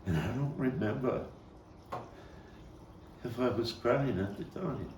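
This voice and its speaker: A man performing a dramatic monologue as a Brooklyn man recalling a violent boyhood, halting and deliberate, with repeats and weighty pauses. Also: medium pitch, a little mellow, close to an everyday voice. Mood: mournful, wistful. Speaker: actor and playwright reading his own monologue. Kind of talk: dramatic monologue at a table read. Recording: roomy, distant mic with faint background noise.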